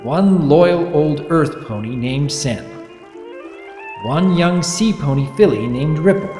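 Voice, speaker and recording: A man voicing an earnest, lovestruck pony baron reading out a formal letter in a measured, heartfelt way, with reverb on the voice. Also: on the high side, lively intonation, voice pushed up. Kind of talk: dramatic reading of a storybook letter. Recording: echoey reverberant effect with music behind.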